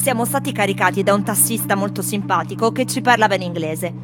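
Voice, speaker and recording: A wry, world-weary tourist played by a woman delivers a theatrical radio monologue. The voice sits at an ordinary pitch for a woman, with music clearly playing behind it. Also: fast, lightly acted. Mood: aggrieved. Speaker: actress performing a radio theatre piece.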